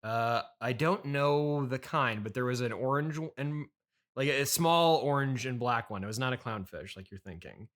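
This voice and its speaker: A man's voice: medium pitch, lively intonation, moderate pace, fairly bright tone. A man in his thirties hosting a casual improvised comedy interview, hesitant and questioning, with a dryly pedantic streak. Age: 30s. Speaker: podcast host and interviewer.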